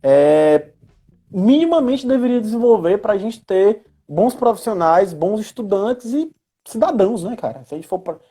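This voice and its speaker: A woman's voice: mid-range voice, lively intonation, moderate pace, warm tone, slightly rough. A woman with a Brazilian accent explaining things in a casual live chat, in a didactic way, often checking for agreement with little tag questions. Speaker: guest explaining role-playing games in education and work.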